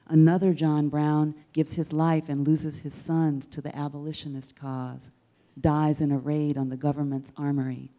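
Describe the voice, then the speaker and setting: A middle-aged woman giving a dramatic spoken-word literary reading on stage, measured and rhetorical, with deliberate pauses. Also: low-pitched, dark tone. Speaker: poet reading at an event. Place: indoors on a dark theater stage. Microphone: handheld-style microphone on a stand, close.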